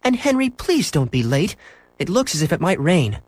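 Exasperated, fussy mother for a children's tale, played by a man, high and animated. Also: normal speed, no echo. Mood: fretful. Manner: exasperated, fretful. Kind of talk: children's storybook character voice.

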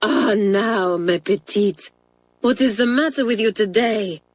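A woman voicing an excitable middle-aged schoolmistress, emphatic and scolding. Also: medium pitch, unhurried, no echo, pitched above the performer's own voice, natural voice. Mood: coaxing.

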